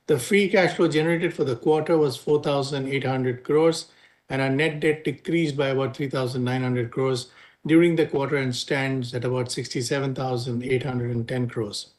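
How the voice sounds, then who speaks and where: A man with an Indian accent delivering prepared financial remarks as a company executive, formal and measured. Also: mid-range voice, steady tone. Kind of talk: prepared earnings call remarks.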